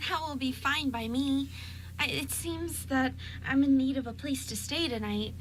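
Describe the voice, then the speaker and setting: A woman's voice: high voice, moderate pace, a little hiss or hum, close to an everyday voice, poor audio. A woman playing a tiny, polite, cheerful female fairy in an amateur radio drama. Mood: polite, sweet.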